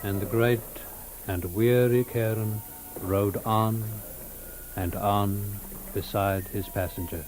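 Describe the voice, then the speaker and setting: A man giving a dramatic literary narration of a story in a solemn, measured manner. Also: lowish voice, fairly even intonation, a little mellow, soft background music.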